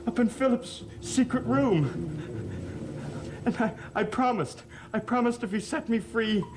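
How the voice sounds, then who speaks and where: An actor playing a guilt-ridden, gentle man of a cursed family in a gothic soap opera, stammering through his confessions. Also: very high voice, a little slow, background noise. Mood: frightened, haunted.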